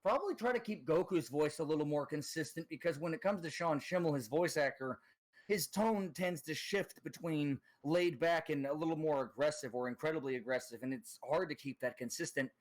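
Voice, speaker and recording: Man on voice chat, fairly high voice, rambling, hesitant, self-conscious guest. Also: fairly quick, poor audio. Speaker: guest voice impressionist. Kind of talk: casual voice chat.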